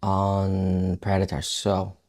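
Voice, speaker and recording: A man's voice: sits low, completely flat delivery, slow pace. A man giving a casual, unscripted music production tutorial, thinking aloud as he works.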